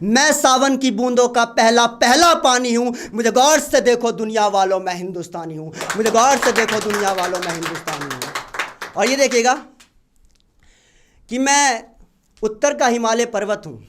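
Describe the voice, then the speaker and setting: A man's voice: very high-pitched, moderate pace, a bit bright, slight background noise. A man reciting patriotic poetry at an open mic, declaiming and repeating lines for emphasis. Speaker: poet.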